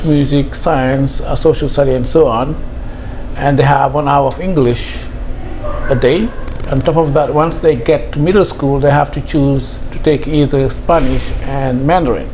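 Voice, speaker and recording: A middle-aged man from Senegal, a school head, answering interview questions in a measured, hesitant way. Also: medium pitch, vocal fry, darkish tone, slight background noise. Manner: measured, thoughtful, with frequent hesitations.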